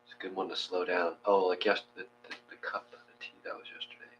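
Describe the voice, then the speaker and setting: A man co-hosting a meditation class, talking casually with halting, friendly restarts and fillers. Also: deep voice, flat delivery, soft background music. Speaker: meditation co-host. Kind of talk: guided meditation and casual chat. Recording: dull lo-fi sound with faint hum.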